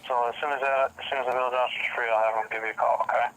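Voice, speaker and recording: A man working as a police dispatcher, answering a phone call in a brisk, matter-of-fact way. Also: mid-range voice, steady tone, a bit bright. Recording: noisy phone line recording.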